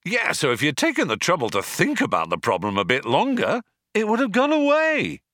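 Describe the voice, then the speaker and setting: An impatient, careless man as a male reader puts him on, blustering and easily exasperated. His pitch swoops wildly, in a dry storybook recording. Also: wildly swooping pitch, brisk, a bit bright, no echo, full character voice. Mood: defensive, flustered. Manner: blustering, hesitant stretched words, exasperated.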